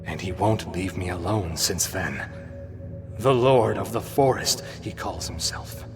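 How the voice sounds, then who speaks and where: A man voicing a tormented, self-loathing young soldier cursed to become a werewolf, in a brooding, halting, melodramatic gothic visual novel style. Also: low-pitched, music in the background. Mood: bitter, anguished. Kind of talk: gothic visual novel voice acting, dramatic monologue.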